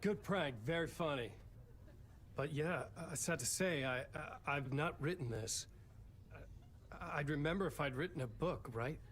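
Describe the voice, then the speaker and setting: An actor playing a tired, bewildered male novelist who answers with flat, wry humor in a game cutscene. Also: fairly high pitch, unhurried, slight background noise. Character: weary, bewildered male novelist, dryly self-deprecating. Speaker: guest writer.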